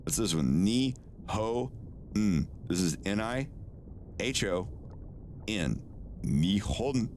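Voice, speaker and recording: A man streaming live in casual chat, relaxed, often stammering and repeating words and swearing now and then. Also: fairly low pitch, extremely slow, long pauses, slight background noise. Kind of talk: casual live-stream chat while doing language lessons.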